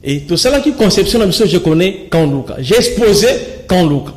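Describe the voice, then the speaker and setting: A man's voice: sits high, lively intonation, moderate pace. A young man with a Congolese accent, speaking French mixed with Lingala as a guest in a TV studio, gesturing and often restarting his sentences. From Kinshasa, Congo. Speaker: studio guest, local resident. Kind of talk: studio interview, animated talk. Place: TV studio with screen backdrop.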